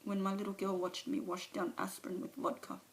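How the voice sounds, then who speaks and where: A woman's voice: mid-range voice, little pitch movement, brisk, slight background noise, close to an everyday voice, low-quality recording. A woman performing a monologue as a remorseful recovering alcoholic mother, speaking confessionally and sometimes dropping to a whisper, recorded on a phone camera. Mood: ashamed.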